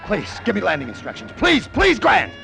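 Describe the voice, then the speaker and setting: An actor playing a desperate, terrified crooked pilot in an overwrought old-time radio drama style. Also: male voice, sits high, wildly swooping pitch, moderate pace, creaky, sharp tone, soft background music, exaggerated voice. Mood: frantic. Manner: overwrought, breathless intensity. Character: desperate, terrified crooked pilot telling his own tale.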